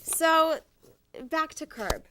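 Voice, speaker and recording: A woman's voice: very high-pitched, expressive, drawn-out words, pushed and bright, a little hiss or hum, rough recording. A woman hosting a casual interview, asking questions in a bubbly way and laughing often. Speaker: interview host.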